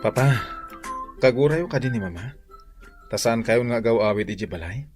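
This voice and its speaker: An actor playing an earnest, insistent young suitor and dutiful son in a radio drama. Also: male voice, mid-range voice, lively intonation, moderate pace, music in the background, close to an everyday voice. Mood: calm, respectful. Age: young adult. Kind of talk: Ilocano radio drama acting.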